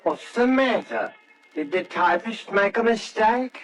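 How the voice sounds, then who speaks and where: A man's voice: high-pitched, lively intonation, measured pace, a bit bright. A man playing a deferential, eager ship's steward in an old-time radio drama. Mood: deferential, inquiring.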